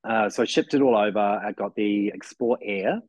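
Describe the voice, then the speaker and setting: A man's voice: on the low side, moderate pace, darkish tone, dry recording. A man in his forties with a New Zealand accent, chatting as a podcast guest and rambling with lots of "you know" and restarts. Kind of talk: casual chat.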